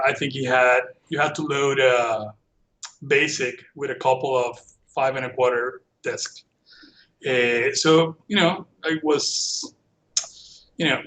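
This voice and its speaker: A man's voice: mid-range voice, fairly bright tone, poor audio. A middle-aged man from Venezuela, a native Spanish speaker with a Venezuelan accent, telling his story casually as a podcast guest. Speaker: podcast guest, computer forensics professional.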